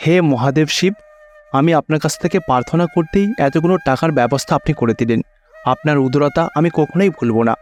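A man's voice: a little high, fairly quick, close to an everyday voice. A man voicing a pious, poor older villager in a cartoon, pleading and exclamatory. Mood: grateful, devout. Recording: faint music behind.

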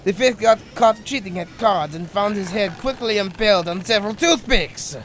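A man's voice: high-pitched, normal speed, fairly bright tone, slight background noise. A man voicing a nerdy male ship scientist who rambles through stories with a mild grumble. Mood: storytelling. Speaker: puppet show character. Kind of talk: amateur puppet show voice acting.

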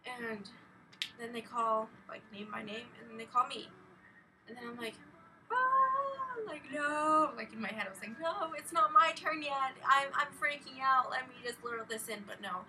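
Bright, high-voiced teenage girl vlogging. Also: normal speed. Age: teens, a minor. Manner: rambling, animated, heavy use of "like". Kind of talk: casual vlog storytelling. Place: small carpeted bedroom, indoors. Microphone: webcam at desk distance. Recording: slightly distant webcam mic, faint noise.

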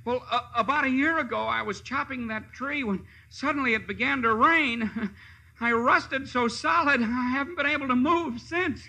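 A man playing an excitable, plaintive tin woodman in theatrical 1950s radio style, with drawn-out exclamations. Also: very high-pitched, full character voice, poor audio. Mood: mournful.

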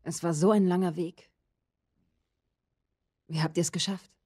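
A woman playing a weary, firm middle-aged resistance leader in a video game, speaking in a measured, serious way with a husky voice. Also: steady tone. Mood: calm, weary. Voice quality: husky, low, dark voice. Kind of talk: cinematic video game dubbing.

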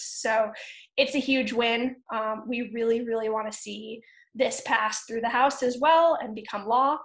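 A woman in her thirties giving a webinar presentation over a video call, speaking in a measured, earnest way with frequent ums and uhs. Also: a little high. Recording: echoey video-call audio.

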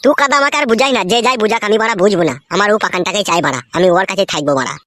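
Man doing a rapid-fire, high-pitched hot-headed cartoon guy. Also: big pitch swings, sharp tone, dry recording, clean studio sound, exaggerated voice. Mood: obstinate. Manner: foul-mouthed, belligerent. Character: stubborn, lovesick, hot-headed married young man. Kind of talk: crude comedy cartoon dialogue.

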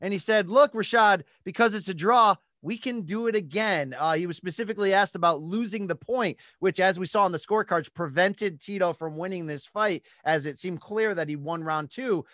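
A man with a high-pitched voice hosts sports talk from a small studio. His delivery is emphatic and animated, and the close microphone gives a very dry sound with little room in it. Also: moderate pace, few pauses, no room sound. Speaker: podcast host. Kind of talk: sports talk recap and interview. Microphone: close studio microphone on boom arm.

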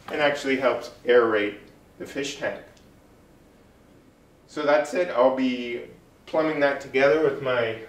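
A man's voice: medium pitch, reverberant, recorded from a distance, a little hiss or hum, poor audio. A man hosting a casual how-to video, explaining a plumbing build step by step as he works on it in his apartment. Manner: unhurried, explains step by step while working with his hands. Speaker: DIY video host. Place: apartment room and tiled bathroom, indoors.

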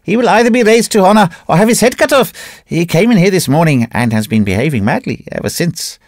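A man playing an excitable horse dealer. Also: high voice, fast talker, dry recording, clean studio sound, pitched above the performer's own voice. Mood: gossiping.

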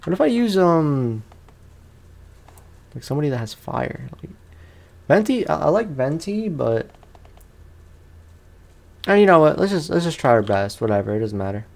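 A man's voice: on the high side, very animated, moderate pace, vocal fry, long pauses, a little mellow. A man hosting a let's play, chatting casually and playfully in slangy speech as he comments on the game. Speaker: gamer, let's play host.

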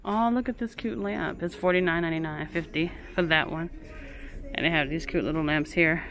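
Woman vlogger, low voice, chatty store walk-through on a phone, music playing behind. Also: brisk, fairly bright tone, poor audio. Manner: chatty, keeps calling things cute, reads prices aloud. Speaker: shopping vlogger. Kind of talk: casual shop-with-me narration. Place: inside a large home goods store. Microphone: handheld phone camera.

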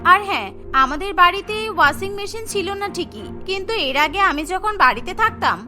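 A woman's voice: very high-pitched, animated, a little fast, music in the background. A woman voicing an affectionate, outspoken young sister and bride in a cartoon, pert and pleading. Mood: protesting.

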